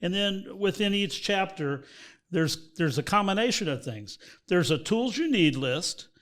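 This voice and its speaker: High, lively interviewing man. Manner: eager, chimes in with quick agreement. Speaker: podcast host. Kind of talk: casual interview chat.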